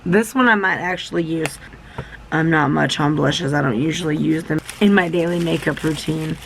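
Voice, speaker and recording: Chatty young woman beauty vlogger, a bit sick and gravelly, talking fast and rambling. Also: low voice, slight background noise, poor audio. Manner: rambling, self-interrupting, enthusiastic. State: a little sick, losing her voice. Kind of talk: chatty product unboxing.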